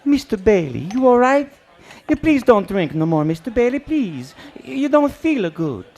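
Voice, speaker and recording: An actor playing a kindly, worried bartender who speaks in broken English in a radio play. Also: male voice, very high-pitched, big pitch swings, measured pace, a little mellow, exaggerated voice. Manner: pleading, broken grammar.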